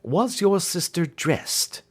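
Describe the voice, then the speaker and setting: A man voicing a keen gentleman detective in a storybook reading, brisk, inquisitive and authoritative. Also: sits high, very animated, pitched above the performer's own voice, heavily acted. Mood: probing.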